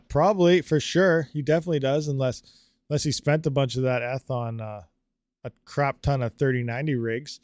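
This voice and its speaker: A man hosts a live stream, his voice sitting a little higher than most men's and the sound dry, with no room around it. He rambles conversationally through viewer comments, leaning on fillers and easygoing asides. His throat sounds a bit dry. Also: a little mellow, clean tone, no room sound. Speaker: livestream host.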